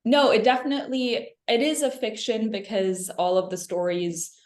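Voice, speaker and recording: A woman's voice: on the high side, fairly even intonation, normal speed, a little mellow. A woman from Lebanon, a film director answering questions in a conversational interview. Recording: roomy, echoing interview sound.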